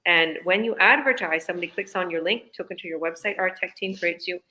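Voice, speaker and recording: A woman's voice: fairly low pitch, fast talker, pushed and bright. A woman business coach from British Columbia answering interview questions in a casual, chatty way, explaining eagerly. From British Columbia, Canada. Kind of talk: live online interview answers.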